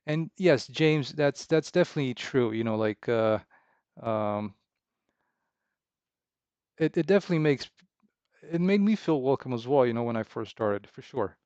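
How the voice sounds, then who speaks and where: A man in his thirties from Romania, chatting casually with livestream viewers in a halting, relaxed way full of fillers and restarts. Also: medium pitch, smooth, no room sound. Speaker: indie game developer streaming. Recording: dull, slightly lo-fi dry sound.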